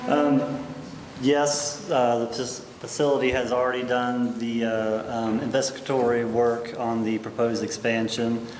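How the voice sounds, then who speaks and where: A man's voice: mid-range voice, flat delivery, unhurried. A man, an agency official, answering public questions at a hearing with many ums and restarts. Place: public meeting hall. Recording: echoey hall, background noise.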